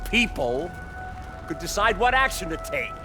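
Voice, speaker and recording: High-pitched, menacing AI overlord. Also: male voice, sing-song, measured pace, music in the background. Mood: cold, accusing. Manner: declamatory, forceful, accusing. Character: menacing AI overlord, cold, accusing, domineering.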